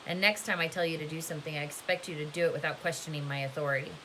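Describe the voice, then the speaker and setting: A woman's voice: low voice, steady tone, racing, sharp tone, slight background noise, pitched below the performer's own voice, poor audio. A woman reading a storybook part as a commanding camp warden, polite and controlled but threatening. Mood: quietly threatening. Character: soft-spoken, menacing, commanding woman prison-camp warden.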